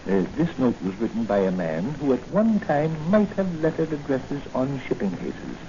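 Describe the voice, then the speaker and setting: An actor playing a shrewd, avuncular doctor and mentor, deliberate and expository, in a 1940s radio drama. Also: male voice, medium pitch, huge pitch swings, warm tone. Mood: thoughtful, analytical. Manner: hesitant 'uh' openings, deliberate, expository. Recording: muffled, noisy 1940 radio recording.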